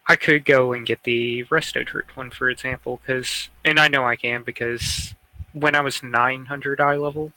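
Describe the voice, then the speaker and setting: A man co-hosts a gaming podcast in a casual, conversational style. His voice is mid-pitched and notably bright. The sound is dry, with very little room to it. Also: steady tone, moderate pace, dry recording, poor audio. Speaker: podcast co-host.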